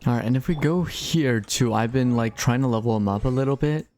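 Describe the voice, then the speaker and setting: A man's voice: mid-range voice, fairly quick, a little mellow. A man doing casual, laid-back gameplay commentary on a livestream, chatting as he plays. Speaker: game livestreamer.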